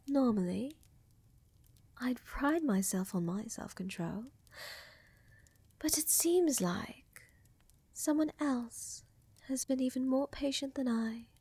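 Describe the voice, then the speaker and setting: A woman playing a seductive, tender vampire countess in a soft, intimate roleplay ASMR style, often dropping to a whisper, with a teasing, assured manner and formal wording. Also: on the high side, animated, slow, long pauses, slightly rough, no room sound, exaggerated voice. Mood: flirty, indulgent. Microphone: close microphone, dry.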